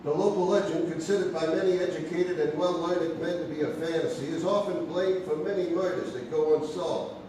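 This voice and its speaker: Pompous male 1800s newspaperman played by an actor, highish voice, scornful formal delivery, distant in a very echoey theatre. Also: fairly even intonation, fairly quick, lightly acted. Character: stern, scornful nineteenth-century newspaper reporter, male. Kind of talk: theatrical reading of old newspaper report. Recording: echoey noisy theatre, far from mic.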